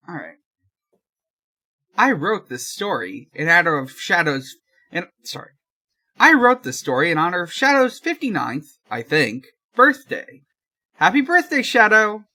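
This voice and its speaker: Slow, hesitant podcast host. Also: medium pitch, big pitch swings, sharp tone. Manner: hesitant, halting. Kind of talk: casual chat and fanfiction read-aloud.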